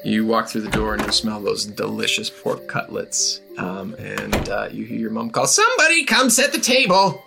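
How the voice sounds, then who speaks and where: A man narrating a comedic tabletop game as game master, in a loose, halting way. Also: lowish voice, completely flat delivery, creaky, over music.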